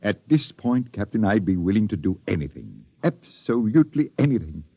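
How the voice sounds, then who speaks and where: An actor playing an apologetic older ship's doctor in a 1950s radio drama, husky, hesitant and deferential. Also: male voice, lowish voice, big pitch swings, moderate pace, mellow, no echo, full character voice. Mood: grave, contrite. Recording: dull, dry vintage radio recording.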